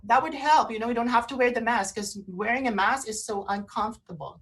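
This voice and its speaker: Fairly high-voiced woman co-hosting radio. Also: fairly quick, fairly bright tone. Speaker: radio show co-host. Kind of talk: casual chat with practical advice.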